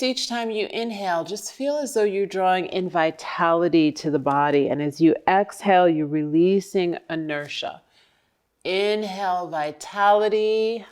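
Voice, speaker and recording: A woman yoga instructor guiding breathing and stretches with calm, encouraging, short cues. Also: mid-range voice, clean tone. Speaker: yoga instructor leading a class.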